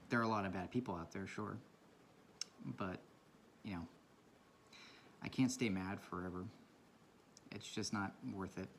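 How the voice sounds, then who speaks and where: A man with a low voice talking quietly in a casual, rambling and reflective way while he draws for his vlog. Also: a little slow, pauses often, a little hiss or hum. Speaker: vlogger. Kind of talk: casual talk while drawing. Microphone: camera mic from a propped camera.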